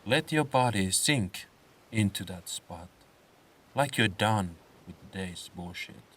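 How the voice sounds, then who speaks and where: A man narrating a scripted guided meditation in a soothing, unhurried way, with phrases set apart by pauses. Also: fairly low pitch, lively intonation, a bit bright, far from the mic, slight background noise. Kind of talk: slow scripted guided meditation with comic swearing.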